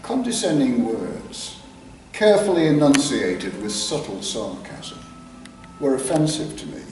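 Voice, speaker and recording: An older man with an English East Midlands accent, telling a story live with careful diction and dramatic pauses. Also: medium pitch, big pitch swings. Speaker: author reading his own work. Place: live reading in a theatre clubroom. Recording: very echoey hall, distant mic, background noise.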